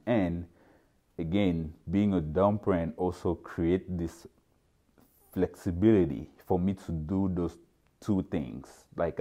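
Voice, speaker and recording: A man in his thirties, originally from Cameroon, speaking in a relaxed, thoughtful way during a seated documentary interview in a large indoor lounge. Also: sits low. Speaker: dorm parent.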